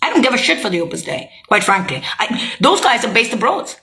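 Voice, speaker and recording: A woman's voice: fairly high pitch, very animated, fairly quick, sharp tone. A woman speaking a rambling, heated monologue, swearing and accusing as she jumps from thought to thought. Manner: loose, jumping from thought to thought.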